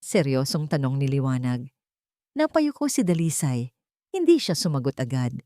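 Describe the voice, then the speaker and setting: Low-voiced Filipino woman telling a dramatic story. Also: wildly swooping pitch, normal speed, dark tone, no echo, clean studio sound. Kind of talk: dramatic scripted romance narration.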